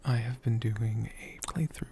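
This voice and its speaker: An ASMR host whispering softly and gently, with a breathy voice and deliberate pauses. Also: mid-range voice, background noise. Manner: gentle, slow, deliberate, with pauses mid-sentence. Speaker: host of an ASMR channel answering viewer questions. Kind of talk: soft-spoken whispered ASMR.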